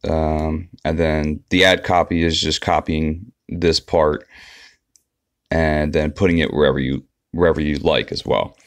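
A man's voice: extremely low pitch, steady tone, measured pace, dry recording. A man giving a casual, unscripted walkthrough of an app as a tutorial presenter.

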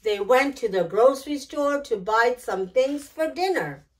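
A middle-aged woman hosting storytime, reading a picture book aloud in an expressive, deliberate way. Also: sits high. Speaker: children's storytime host. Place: small decorated indoor storytime set.

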